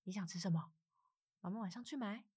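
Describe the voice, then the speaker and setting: A woman's voice: medium pitch, brisk, slightly rough, no echo, studio quality, lightly acted. A woman playing a worn-out middle-aged mother in a radio drama. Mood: gentle. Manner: pleading, rising into exasperated outbursts. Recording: loud music bed under the voice.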